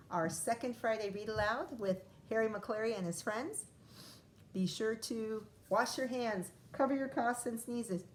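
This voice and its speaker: A woman teacher reads a picture book aloud for her first graders, taking it slowly. She stops often to ask the children questions and explain new words. A faint hum of room noise sits under her voice. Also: mid-range voice. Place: classroom or home, recorded for video.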